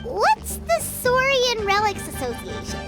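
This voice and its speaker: A woman's voice: very high-pitched, huge pitch swings, measured pace, over loud music, exaggerated voice. A woman voicing a small childlike fairy companion in a video game, chirpy, eager and cheeky. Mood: curious.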